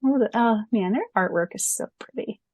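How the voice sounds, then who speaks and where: A woman co-hosting a casual fan podcast, chatting and reading aloud in an excitable, expressive way. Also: a little high, moderate pace, no echo, muffled recording. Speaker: podcast co-host.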